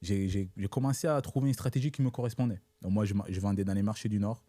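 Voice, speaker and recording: A young man, born in Italy and raised in Africa, who learned French later, talking as an interview guest in a measured way, checking in with his listener. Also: lowish voice, mellow, no echo. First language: not French. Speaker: guest entrepreneur. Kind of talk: casual interview talk.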